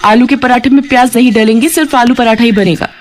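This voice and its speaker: A woman's voice: fairly high pitch, fast talker, close to an everyday voice. Woman acting a proud, squabbling young daughter-in-law. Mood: indignant, bickering. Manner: indignant, childishly argumentative. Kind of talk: Hindi cartoon family drama acting.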